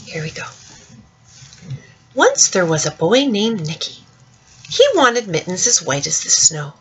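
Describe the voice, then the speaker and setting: A woman reads a children's storybook aloud in an expressive, dramatic way, speaking directly to young listeners, with a husky voice. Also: fairly low pitch, measured pace, low-quality recording. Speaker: storyteller reading a picture book to children.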